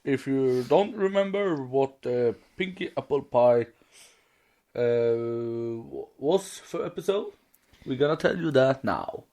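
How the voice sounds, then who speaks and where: A man co-hosting a casual fan podcast in halting with loose grammar. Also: medium pitch, lively intonation, mellow. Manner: non-native English with loose grammar, halting. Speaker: podcast co-host.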